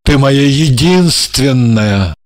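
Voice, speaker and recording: A woman voicing an ardent, excitable male painter in love, speaking in an effusive, exclamatory way. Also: very deep voice, takes it slowly, no room sound, heavily acted. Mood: overjoyed. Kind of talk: audiobook character reading.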